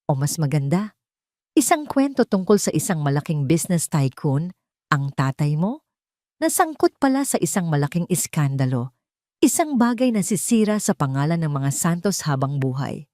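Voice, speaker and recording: Woman playing smug, menacing mistress, mellow low voice. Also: sing-song, normal speed, no room sound, clean studio sound. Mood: cold threat. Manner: sweetly taunting, mock-gentle. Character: smug, menacing mistress making veiled threats.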